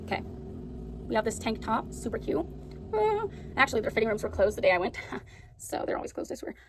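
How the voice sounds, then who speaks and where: A teenage girl vlogging casually at home, chatty and full of fillers, with a raspy voice. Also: a little high, very animated, brisk. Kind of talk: casual chat. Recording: noisy home recording.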